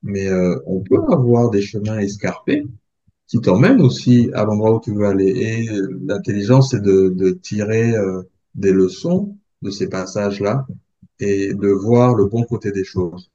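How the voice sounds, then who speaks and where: A man in his forties, from the Congo, talks in a calm, thoughtful way and often pauses to hesitate. His voice is low and dark. The podcast sound is a little muffled. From Republic of the Congo. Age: 40s. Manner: measured, thoughtful, with frequent hesitations. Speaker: guest. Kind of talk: reflective interview talk.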